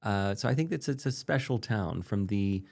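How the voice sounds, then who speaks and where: A man's voice: fairly low pitch, expressive, normal speed, creaky, a little mellow. A man around forty, a native Polish speaker, interviewing casually as an interested, affirming listener.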